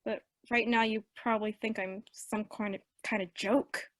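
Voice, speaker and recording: A woman's voice: mid-range voice, fairly even intonation, moderate pace, a bit bright, no room sound, clean studio sound, lightly acted. An actress in a radio play as an earnest, eager eighteen-year-old girl who dreams of singing. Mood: pleading. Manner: earnest, youthful.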